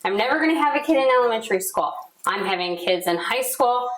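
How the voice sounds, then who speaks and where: A woman in her forties speaks quickly and chattily, often finishing her co-host's sentences. Her voice sits a little high. The echoey room rings around her. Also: expressive. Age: 40s. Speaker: financial advisor, podcast co-host. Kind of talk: casual podcast discussion.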